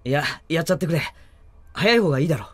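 A man's voice: fairly high pitch, animated, racing, a bit bright, dry recording. A man playing a young father in a Japanese anime audio drama, talking in a casual, blunt, familiar way. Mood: concerned. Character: lively young father, worried about his daughter.

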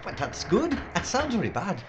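An actress playing a wry, worried member of a stranded crew in an audio drama. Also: female voice, fairly low pitch, wildly swooping pitch, moderate pace. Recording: clear background noise and ambience.

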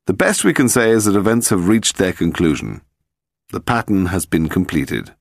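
A gentleman detective in an audiobook mystery, acted by a male reader. He is calm and cheerful, explaining with wry ease. The melody is lively and the sound close and dry. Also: low voice, moderate pace, vocal fry, no room sound, full character voice.

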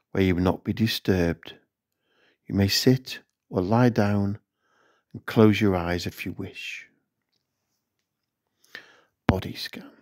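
A man narrating a scripted guided meditation in measured, instructional short phrases. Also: lowish voice, a little mellow.

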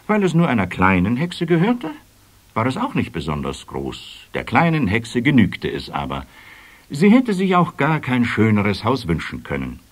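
A man narrating a children's fairy tale in a measured, expressive storytelling manner, with deliberate emphasis. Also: medium pitch.

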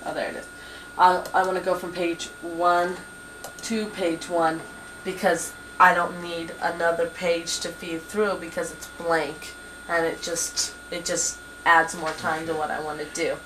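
Young woman, lowish voice, patient, halting with ums, faint camcorder hiss. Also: level tone. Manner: patient, hesitant with many ums. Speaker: computer instructor. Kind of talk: hands-on software tutorial. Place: small home office at a desk computer.

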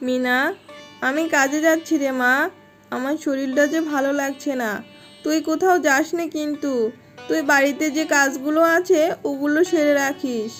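A woman voicing an ailing, gentle mother fox in a children's cartoon, weary and affectionate. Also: high voice, steady tone, normal speed, natural voice. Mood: tender, reassuring. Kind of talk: Bengali children's cartoon dubbing. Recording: music behind the voice.

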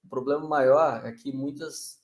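A man's voice: medium pitch, steady tone, unhurried. Joking bearded man, roomy sound. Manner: joking, evasive about his age. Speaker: co-host. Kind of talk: casual live-stream chat. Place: home room with bookshelves. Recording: roomy mic pickup.

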